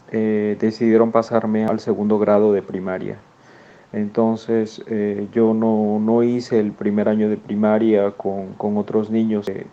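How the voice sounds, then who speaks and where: Gravelly low-voiced Peruvian man, halting. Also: muffled recording. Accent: northern Peruvian Spanish. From Peru. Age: 40s. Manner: halting, repeats words and restarts, many "eh" fillers. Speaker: educator guest. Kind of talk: personal recollection.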